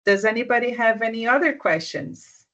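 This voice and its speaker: A mentor talks through workplace advice on a video call, gently and with frequent restarts. The voice sits in a middle pitch, and the call audio comes through a little dull and muffled. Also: moderate pace. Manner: soft-spoken, restarts often, checks understanding. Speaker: business mentor. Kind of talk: advice in a live online session.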